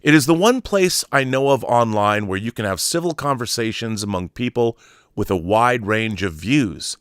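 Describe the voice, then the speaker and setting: A man's voice: medium pitch, animated, moderate pace, a bit bright, clear, resonant tone, no room sound, studio quality. A man hosting an online show, reading a long article aloud and adding brief asides. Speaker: YouTube host.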